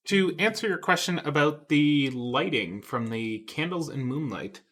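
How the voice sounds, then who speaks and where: A man's voice: mid-range voice, fairly bright tone. A man hosting a casual online art critique stream, joking and laughing often as he reads submissions. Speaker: stream host.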